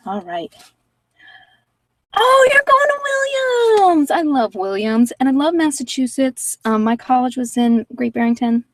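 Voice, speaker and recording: A woman's voice: a little high, dry recording, far from the mic, rough recording. A woman chatting casually and candidly with live viewers as a livestream host, in an informal, self-deprecating, rambling way. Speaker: livestream host giving card readings.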